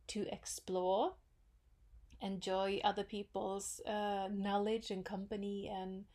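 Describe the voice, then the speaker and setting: A woman with a Norwegian accent hosts a solo podcast into a microphone. She speaks slowly at a mid pitch, musing over her ideas with frequent pauses and small fillers. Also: darkish tone. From Norway. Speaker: podcast host. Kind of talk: solo reflective podcast talk.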